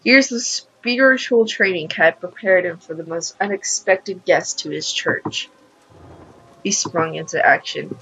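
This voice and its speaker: A woman hosting a gaming video, reading a story aloud and adding casual, excitable asides. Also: on the low side, measured pace, a little hiss or hum, rough recording. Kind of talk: let's play commentary, reading story aloud.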